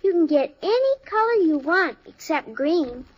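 An American actress playing an eager, innocent young daughter in a 1950s radio sitcom, earnest and childlike. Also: female voice, extremely high pitch, big pitch swings, moderate pace, noisy background. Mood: hopeful. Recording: dull old radio recording.

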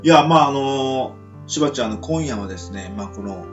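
A man's voice: medium pitch, lively intonation, a little fast, dull audio, loud music behind the voice. A man hosting a casual talk show, speaking politely in a rambling, hedging way. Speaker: radio show host.

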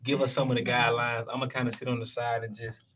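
A man's voice: mid-range voice, little pitch movement, quick pace, fairly bright tone, a little hiss or hum. A man appearing as a show guest, chatting casually in slangy, hedging phrases with frequent short interjections. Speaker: show guest, writer and creator. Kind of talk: casual chat.

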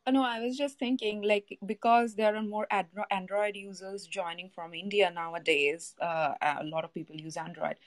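A woman's voice: mid-range voice, little pitch movement, normal speed, few pauses, no room sound. A woman whose first language is Bengali, speaking earnestly and a little hesitantly in a casual live audio chat. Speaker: guest speaker.

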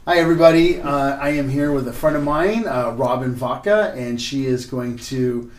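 A man's voice: mid-range voice, moderate pace. Male pastor interviewing in an echoey room. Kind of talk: casual interview and spoken prayer. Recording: roomy, echoey space.

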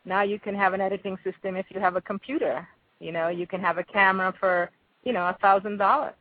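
A woman's voice: medium pitch, steady tone, fast talker, no room sound, phone-quality sound. A woman with a Jamaican accent giving thoughtful, conversational answers as an interview guest.

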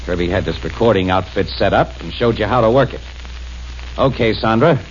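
A brash, hot-tempered photographer, played by a man with a fairly low voice. He rushes into anger and talks tough. It comes through a muffled vintage radio broadcast with a faint hiss. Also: animated, close to an everyday voice. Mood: scornful. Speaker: news photographer. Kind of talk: 1940s radio acting.

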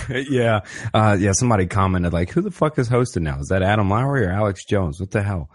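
Laid-back deep-voiced podcast host. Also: male voice, lively intonation, a little fast, husky. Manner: laid-back, slangy, says "man" and "brother" a lot. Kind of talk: casual interview conversation.

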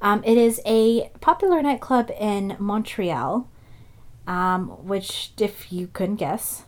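A young woman co-hosting a podcast, English not her first language, chatting casually and acting out quoted dialogue with big jumps in pitch. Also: expressive, slow. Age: young adult. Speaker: podcast co-host. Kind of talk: casual chat and storytelling.

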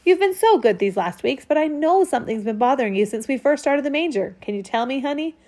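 Woman doing a warm-hearted mom, soft, reassuring, slow and fairly high. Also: lively intonation. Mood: tender. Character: gentle caring mother.

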